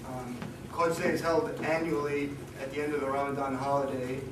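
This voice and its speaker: Hoarse male lecturer, flat delivery, halting; recorded from afar in an echoey room with a noisy background. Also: medium pitch, distant mic. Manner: hesitant, many ums and restarts. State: losing his voice. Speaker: guest lecturer. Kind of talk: academic seminar talk.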